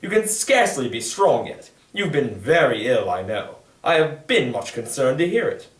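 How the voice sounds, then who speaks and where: A man's voice: medium pitch, sing-song, moderate pace, close to an everyday voice. A man voicing a proud, anguished noblewoman in a formal, dramatic, pleading manner for a literary reading. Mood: composed concern. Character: proud, anguished noblewoman confessing to her secret daughter. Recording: echoey room, distant microphone.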